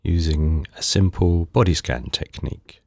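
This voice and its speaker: A man leading a guided meditation in calm, unhurried, soothing short phrases with pauses between them. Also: low-pitched, dry recording, clean studio sound. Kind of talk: guided body scan meditation.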